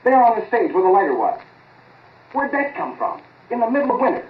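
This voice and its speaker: An actor playing an excitable, halting American amateur inventor in a 1940s radio drama, plain-spoken and easily amazed. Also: male voice, very high voice, slight background noise. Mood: amazed, excited. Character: excitable male amateur time-machine experimenter, halting and folksy. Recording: narrow-band vintage 1940s radio recording.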